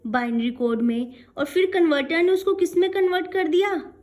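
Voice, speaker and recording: A woman's voice: extremely high pitch, normal speed, darkish tone. A woman giving an explanatory lecture as a programming teacher, asking and answering her own questions with frequent check-in tags and mixing in English technical terms.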